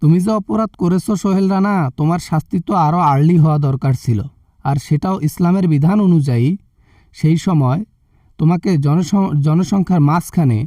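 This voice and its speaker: A man's voice: on the high side, a little fast, dark tone, no echo, clean studio sound, distant mic, natural voice. A man with a Bangladeshi accent playing a stern religious party leader in a comic phone skit, scolding and preaching threats.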